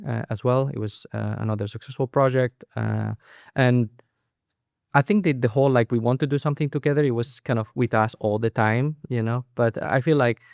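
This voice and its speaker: A man's voice: mid-range voice, vocal fry, a little mellow, smooth, dry recording, studio quality. A man co-hosting a podcast as the company's CEO, chatting casually with many fillers and restarts. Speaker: co-founder and CEO, podcast host. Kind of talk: casual conversational podcast chat.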